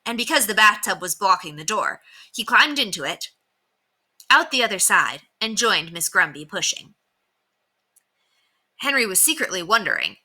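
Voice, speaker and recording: A woman reading a children's book aloud as narrator, expressive and emphatic. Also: mid-range voice. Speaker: storybook reader.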